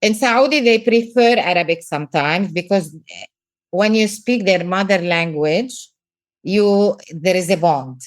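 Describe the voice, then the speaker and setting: A woman's voice: on the low side, a little slow. A middle-aged woman from Lebanon with a Lebanese accent, a business coach talking earnestly in a podcast interview and repeating words for emphasis.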